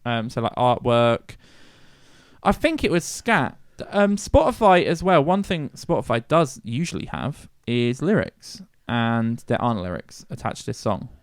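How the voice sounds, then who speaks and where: Aussie guy co-hosting a music podcast, chatty and laughy, mid pitch, dry close mic. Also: sing-song, a little slow, no room sound. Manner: chatty, laughs often, swears casually. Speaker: podcast co-host. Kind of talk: casual chat reviewing submitted music.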